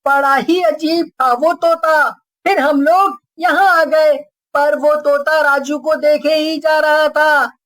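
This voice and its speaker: A woman's voice: high voice, fairly even intonation, slow pace, a bit bright. A woman voicing an earnest, observant young boy in a cartoon horror story. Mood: earnest, worried. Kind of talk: Hindi cartoon character acting.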